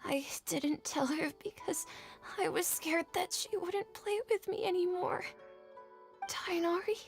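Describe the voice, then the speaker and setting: Frail, very high sick little boy. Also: measured pace, creaky, heavily acted. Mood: tearful, frail. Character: naive, feverish little boy, plaintive. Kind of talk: video game voice acting. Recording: game music behind.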